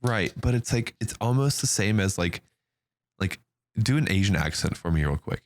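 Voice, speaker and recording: A man's voice: on the low side, lively intonation, normal speed. A man from Germany, a native German speaker, chatting casually in English with blunt, slangy opinions and some swearing.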